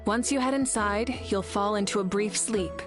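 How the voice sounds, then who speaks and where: A calm, wise ritual guide, acted by a woman, in a medium female register. Her delivery is measured, composed and reassuring, in anime game style, over faint music. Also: medium pitch, natural voice.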